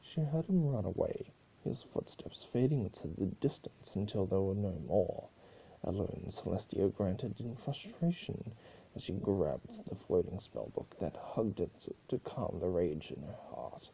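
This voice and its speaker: A man's voice: sits low, big pitch swings, measured pace, creaky, warm tone, a little hiss or hum, rough recording. A man giving an amateur dramatic reading of a story, now and then stumbling over his words. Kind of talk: dramatic scripted narration.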